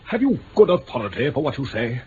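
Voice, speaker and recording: An actor playing a stiff, affronted aristocrat with an upper-class English accent, pompous and formal, in mid-century radio drama. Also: male voice, mid-range voice, sing-song, fast talker, mellow, close to an everyday voice. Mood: indignant, wounded pride. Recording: muffled, noisy old radio recording.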